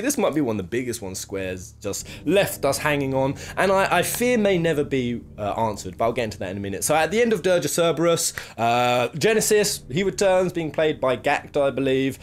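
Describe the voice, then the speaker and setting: A man's voice: on the high side, slight background noise. A man hosting a countdown video with casual, unscripted commentary, talking in an emphatic, jokey, sweary, slangy way. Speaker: video host presenting a game countdown. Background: game soundtrack music.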